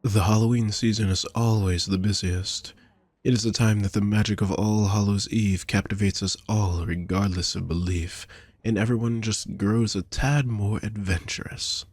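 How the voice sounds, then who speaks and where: A man playing a doting, courtly vampire shopkeeper in an intimate audio roleplay, with a husky voice and gentle, flowery, formal speech addressed to the listener. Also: fairly low pitch, fairly even intonation, normal speed, no room sound, lightly acted. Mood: wry, chatty.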